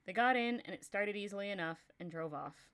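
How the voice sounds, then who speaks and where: A woman's voice: mid-range voice, expressive, fairly quick, fairly bright tone, smooth. Bubbly Texan woman streamer. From Texas. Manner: laughs often, swears casually, bubbly. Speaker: streamer reading a story aloud. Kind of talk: casual livestream chat and story reading.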